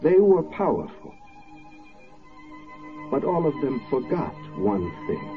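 An actor playing a grave, oratorical rabbi, solemn and deliberate in a 1940s radio drama. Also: male voice, mid-range voice, huge pitch swings. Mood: solemn, emphatic. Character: grave, oratorical rabbi preaching on fallen tyrants. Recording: tinny, band-limited 1940s radio recording. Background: music.

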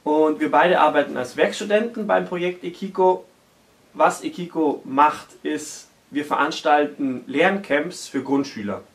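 Young guy in his 20s chilling, kinda high voice, mic far off. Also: measured pace. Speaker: working student. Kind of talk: casual presentation. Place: room with a bookshelf.

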